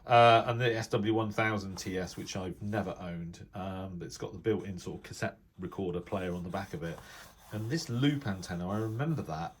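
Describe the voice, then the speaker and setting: A middle-aged British man with a fairly low voice gives an unscripted hobbyist commentary. He speaks casually, often pausing and restarting as he thinks aloud. The delivery rambles gently from point to point. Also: a little hiss or hum. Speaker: radio hobbyist video presenter. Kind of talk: casual unscripted commentary while browsing a reference book.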